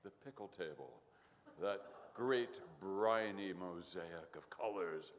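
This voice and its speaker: A man's voice: sits low, takes it slowly, long reverb tail, slight background noise. A man playing a folksy, pompous announcer on an old-time church radio show, with flowery phrasing. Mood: wistful, poetic.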